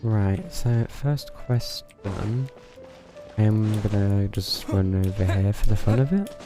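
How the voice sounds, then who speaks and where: A man's voice: fairly low pitch, slow pace, music in the background. A man giving casual live game stream commentary, muttering to himself in a dryly wry way.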